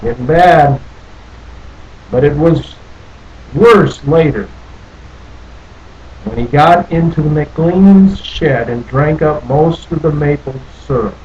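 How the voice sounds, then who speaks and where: Slow-paced man reading a children's storybook. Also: fairly high pitch, a little mellow, highs cut off, a little hiss or hum. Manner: drawn-out, folksy, playful delivery with little asides. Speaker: storybook reader. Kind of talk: animated read-aloud of a children's picture book.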